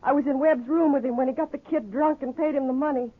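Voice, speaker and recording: Woman acting scared young american saloon gal, high voice, urgent, tinny old radio drama. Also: steady tone, fast, close to an everyday voice. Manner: urgent, nervous. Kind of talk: 1950s radio Western acting. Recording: tinny, narrow-band old radio recording.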